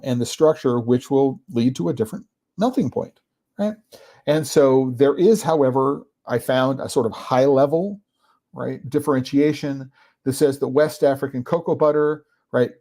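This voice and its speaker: A man hosting a podcast, giving an informal explanatory talk in a halting way, searching for words and often checking in with the listener. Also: medium pitch, mellow. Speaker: podcast host.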